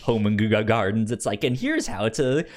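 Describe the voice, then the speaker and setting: Male podcast co-host, casual and halting, stammers over words, pitch swings widely. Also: big pitch swings. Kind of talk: casual book discussion podcast.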